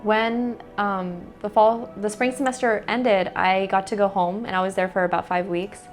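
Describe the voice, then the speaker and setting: A young woman with an American accent talks in a relaxed, conversational way at a middle pitch. She strings her thoughts together with plenty of "like" fillers. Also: normal speed, faint music behind. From United States. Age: young adult. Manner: chatty, with frequent "like" fillers. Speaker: interviewee. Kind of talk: casual interview answers.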